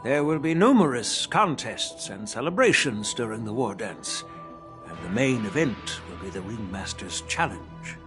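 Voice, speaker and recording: An actor playing a shrewd old elder statesman in a video game, speaking in a measured, frank and formal way. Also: on the low side, expressive, over music.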